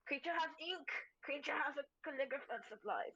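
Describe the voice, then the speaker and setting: A woman's voice: high voice, expressive, fairly quick, bright, forceful tone, no echo, dull audio, exaggerated voice. A woman voicing a blunt male creature in a tabletop game who talks about himself in the third person. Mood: grumpy, blunt. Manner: refers to self in third person.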